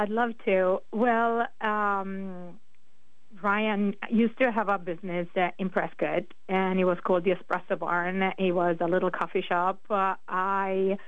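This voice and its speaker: A woman from northern Italy with an Italian accent, a guest on a radio talk show, telling her story slowly with many fillers and pauses. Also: mid-range voice, clear, resonant tone, dry recording, phone-quality sound. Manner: slow, hesitant, many fillers. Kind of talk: casual radio interview.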